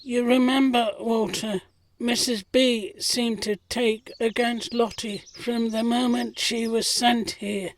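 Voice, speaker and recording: An earnest servant played by an actress in a period audio play. Her voice is fairly high, and she speaks slowly and deliberately. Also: fairly even intonation, a little hiss or hum. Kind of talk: audio drama acting.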